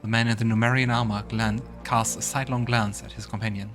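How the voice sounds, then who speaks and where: Casting: male let's play host, slightly low pitch, narrates and comments over faint music. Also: level tone, fast, fairly bright tone. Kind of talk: game commentary and reading game text aloud.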